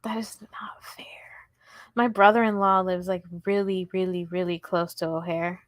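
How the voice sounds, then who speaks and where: A woman's voice: fairly low pitch, level tone, normal speed, no echo, clean studio sound. A woman hosting a casual crochet livestream, chatty and giggly as she talks to her viewers. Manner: chatty, giggly, talks to chat while working. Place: indoors at home table.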